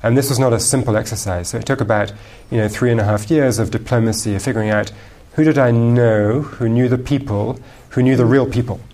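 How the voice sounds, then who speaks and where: A man's voice: medium pitch, fairly even intonation, fairly quick, few pauses, dark tone. A man from England with an English accent tinged with American, answering interview questions fluently, with frequent ums and repeated words. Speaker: guest author.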